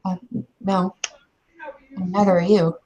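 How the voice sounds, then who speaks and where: Tabletop game she-wolf played by a woman: lowish voice, skeptical, blunt, ready to challenge. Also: little pitch movement, unhurried, dark tone, muffled recording, slight background noise, close to an everyday voice. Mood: questioning, defiant. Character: defiant, skeptical female werewolf challenging her mate.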